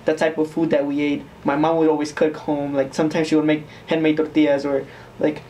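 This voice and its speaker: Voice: medium pitch, little pitch movement, fairly quick, warm tone, recorded from a distance, a little hiss or hum. A young adult from Mexico, answering interview questions in a reflective, rambling way with many fillers.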